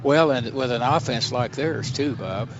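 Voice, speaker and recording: A man's voice: medium pitch, measured pace, over music. An American man giving casual color commentary on a live football radio broadcast. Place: stadium radio booth. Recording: muffled radio broadcast with stadium noise. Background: stadium ambience.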